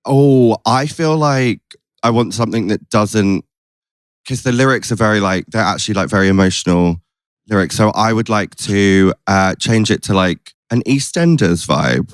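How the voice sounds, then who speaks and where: Casting: male podcast host, lowish voice, gushing casual chat, dry close recording. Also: normal speed, smooth, no echo. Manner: effusive, gushing, frequent "like".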